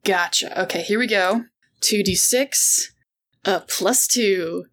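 A woman's voice: mid-range voice, slow, fairly bright tone. A woman playing a tabletop game, chatty and stammering as she talks. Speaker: player. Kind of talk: casual tabletop game chat.

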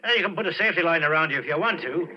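Brief: man playing impatient rig foreman, fairly high male voice, pushy and slangy, vintage radio drama, dulled recording. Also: very fast, dull audio, a little hiss or hum, lightly acted. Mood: impatient, bossy. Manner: bossy, colloquial, pushy. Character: brusque, impatient oil drilling boss. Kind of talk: 1940s radio drama acting.

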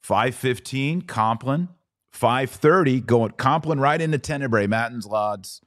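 A man's voice: medium pitch, measured pace, fairly bright tone, dry recording, clean studio sound. A man hosting a religious podcast, explaining things in a conversational, didactic way with emphatic restatements. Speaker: podcast host.

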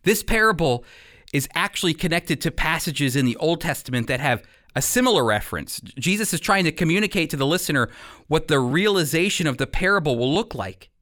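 A man giving an expository Bible teaching as a radio program teacher, speaking emphatically and addressing listeners directly with pauses for emphasis. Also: on the high side, expressive, a bit bright.

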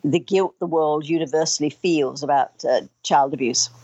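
A woman's voice: sits low, moderate pace, warm tone, no room sound, dull audio. An older woman, answering in an interview in an earnest, emphatic way and repeating phrases to drive points home. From Australia. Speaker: trauma recovery expert, interview guest.

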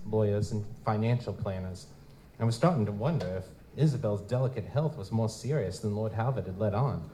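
A man's voice: on the low side, mellow, close to an everyday voice, low-quality recording. A man playing a wry, streetwise goblin-like private investigator in a noir audio drama, speaking in casual slang. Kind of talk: live audio drama dialogue and first-person narration. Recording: noticeable background noise.

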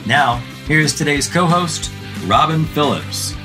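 A man reading a scripted podcast intro in a smooth promotional delivery. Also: on the high side, animated, takes it slowly. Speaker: show announcer. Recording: voice over guitar music. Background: plucked guitar music.

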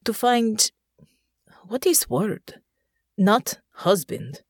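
A plainspoken young commoner woman with a foreign accent talks in short, broken phrases, as a woman narrator puts her on. Her voice sits fairly low and sounds dry and close. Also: animated, slow pace, warm tone, husky, no echo, exaggerated voice. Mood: fond.

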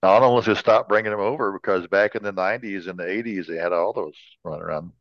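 A man's voice: low voice, fast, no echo. A man co-hosting a casual chat, chipping in with remarks and jokes, on a slightly muffled recording. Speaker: podcast co-host. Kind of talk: casual chat, interjecting and joking.

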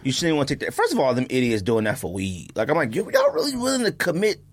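Animated American man, slangy and lively. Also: medium pitch, quick pace, breathy edge. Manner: animated, slangy storytelling, often chuckling. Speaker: podcast co-host. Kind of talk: casual chat with anecdotes.

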